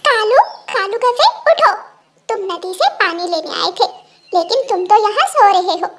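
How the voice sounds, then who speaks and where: A woman's voice: very high-pitched, lively intonation, a little slow, fairly bright tone, exaggerated voice. A woman voicing a hardworking, scolding female bird in a children's cartoon. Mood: impatient.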